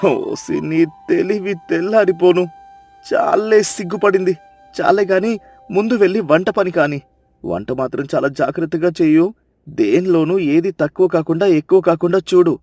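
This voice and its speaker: An actor playing an exasperated, bossy village husband who scolds impatiently in a moral story. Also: male voice, high-pitched, moderate pace, darkish tone, dry recording, soft background music. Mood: scolding.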